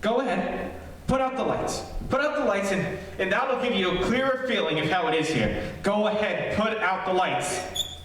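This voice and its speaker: A man playing a nervous radio reporter in a haunted house, halting and confiding in his listeners, in old-time radio horror style. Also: high voice, animated, a bit bright. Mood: hushed, uneasy. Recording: echoey distant stage recording, faint noise.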